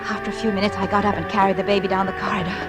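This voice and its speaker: A woman's voice: medium pitch, little pitch movement, very fast, loud music behind the voice, natural voice. An actress playing a lonely young married woman, narrating a confession with intense feeling in 1940s radio style. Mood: tense, confessional. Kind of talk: 1940s radio acting, dramatic first-person narration.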